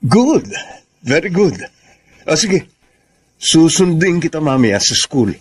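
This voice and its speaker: A man's voice: on the high side, sing-song, slow, slightly rough, exaggerated voice. Smug scheming man, swooping intonation. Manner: smug, code-switching into English. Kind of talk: radio drama acting.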